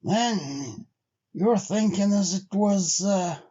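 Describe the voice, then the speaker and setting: A stolid, doubting trail hand played by a male storyteller, pitched well up from a normal male register. He drawls in rustic, old-time speech and takes his time over every phrase, like a storybook frontiersman. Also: slow pace, warm tone, lo-fi sound. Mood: weary, commiserating. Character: slow, stolid, sceptical sled-dog driver, an adult man. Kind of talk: storybook character voice.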